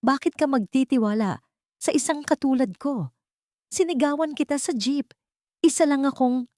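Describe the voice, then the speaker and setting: A woman's voice: sits high, moderate pace, warm tone, no echo, clean studio sound, voice pushed up, lightly acted. A woman voicing an earnest, humble young working woman who pleads with resolve in an audio drama. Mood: bewildered, pleading.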